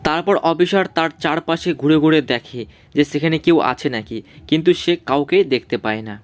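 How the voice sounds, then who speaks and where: A man's voice: a little high, lively intonation, a little fast. A man narrating a scripted story for a cartoon.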